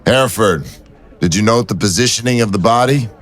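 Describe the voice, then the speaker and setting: An actor playing a wry, persistent city detective who talks in dry, teasing banter. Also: male voice, lowish voice, fairly quick, a bit bright, natural voice.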